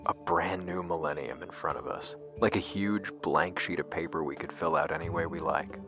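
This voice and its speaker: A man playing a grave scientist in scripted audio drama dialogue, speaking deliberately with hesitant pauses. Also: deep voice, fairly even intonation. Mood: grave, confessional. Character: grave, secretive male scientist and his questioning double. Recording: music under the voice. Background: music.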